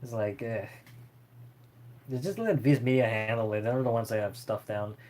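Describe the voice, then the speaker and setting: A man's voice: mid-range voice, moderate pace, a little mellow. A man chatting casually and unscripted as a streamer answering viewer questions, in a rambling, hesitant way.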